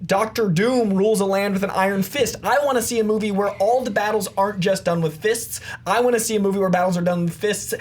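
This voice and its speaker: A man's voice: high-pitched, fairly even intonation, quick pace, few pauses. Male movie debater, emphatic persuasive delivery with rhetorical repetition. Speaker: debate show contestant.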